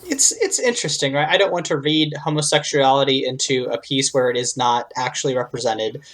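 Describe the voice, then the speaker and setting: A man's voice: mid-range voice, brisk, a bit bright. A man co-hosting a podcast, giving his take in casual, earnest and rambling chat. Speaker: podcast co-host. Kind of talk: casual chat, fan review.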